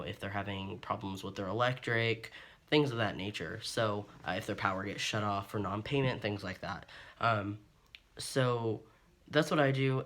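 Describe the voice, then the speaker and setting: Earnest young man in his twenties, lowish voice. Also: a bit bright. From Ohio, USA. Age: 20s. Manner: earnest, open, rambling with frequent ums and restarts. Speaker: vlogger. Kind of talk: candid personal monologue to camera.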